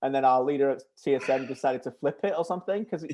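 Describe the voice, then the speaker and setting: A man co-hosting a podcast over a video call, teasing a guest in quick banter. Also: medium pitch, mellow, a little hiss or hum. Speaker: podcast co-host. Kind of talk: casual chat on a video call.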